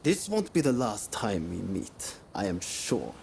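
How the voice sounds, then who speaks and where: A gravelly, haughty samurai rival. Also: male voice, fairly low pitch, big pitch swings, a little mellow, slight background noise, heavily acted. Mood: cool contempt. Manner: deliberate, condescending. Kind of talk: video game cutscene acting.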